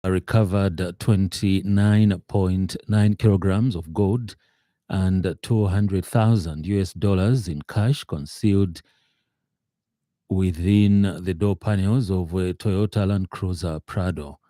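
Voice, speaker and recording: Male, Zambian accent, low dark voice, formal radio bulletin reading, hesitant phrasing. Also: fairly even intonation, slow, dry recording, studio quality. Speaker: radio news reader. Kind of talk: scripted news bulletin. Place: radio studio.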